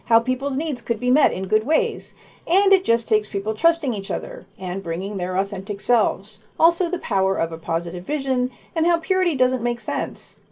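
A woman's voice: a little high, sing-song, normal speed, mellow. A woman co-hosting a podcast, reading scripted summaries and reviews with her own commentary.

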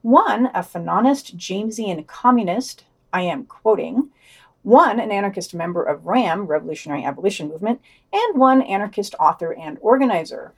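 A woman's voice: mid-range voice, animated, moderate pace, slightly rough. Dry-witted woman podcast co-host. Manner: wry, dry asides. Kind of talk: reading and commenting on news.

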